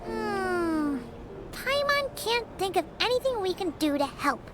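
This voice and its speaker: Brief: a bubbly little game sidekick, squeaky, unhurried lines. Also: female voice, very animated, very noisy, heavily acted. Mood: worried. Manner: speaks of herself in third person, bubbly. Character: tiny chirpy floating girl companion, excitable. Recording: game audio over loud music.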